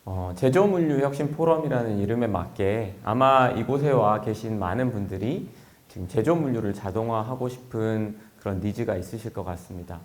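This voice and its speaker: A man's voice: lowish voice, moderate pace, a little mellow. A man giving a formal conference presentation as a company executive, speaking in a polite, explanatory manner.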